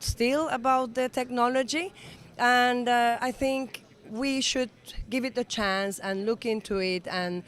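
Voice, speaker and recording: A woman's voice: fairly high pitch, a bit bright. A woman in her sixties from Finland, a native Finnish speaker, giving an earnest, enthusiastic interview at an event. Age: 60s. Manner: enthusiastic, earnest, slightly non-native phrasing. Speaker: interview guest. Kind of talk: conversational interview. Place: event summit venue.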